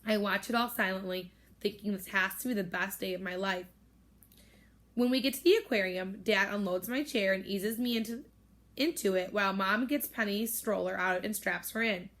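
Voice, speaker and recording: A woman teacher reading a novel aloud to her students, with expressive narration. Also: moderate pace. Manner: expressive, raises pitch for girls' voices. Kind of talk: storybook read-aloud with character voices.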